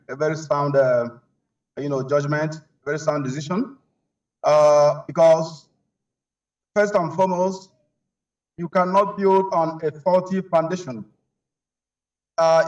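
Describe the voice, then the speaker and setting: A man with a Nigerian accent giving his views as an interview guest, halting and repeating himself as he searches for words. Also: a little high, far from the mic, dull audio. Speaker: human rights advocate, interview guest. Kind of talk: spoken opinion in a news interview.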